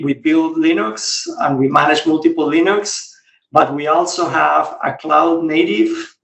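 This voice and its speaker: A middle-aged man from Spain, a guest on a podcast interview, explaining things in a relaxed way with frequent restarts. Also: a little high, creaky, echoey room, far from the mic, rough recording. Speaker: guest, regional software executive.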